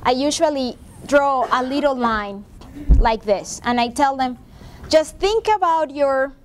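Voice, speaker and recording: Woman in her forties, high voice, workshop presenter, chatty, keeps asking "right?", classroom, far camera. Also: lively intonation, unhurried, slight background noise. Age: 40s. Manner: conversational, frequent "right?" checks with the audience. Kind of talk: presenting a professional development workshop. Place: classroom with a seated audience, projector screen. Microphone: far room camera.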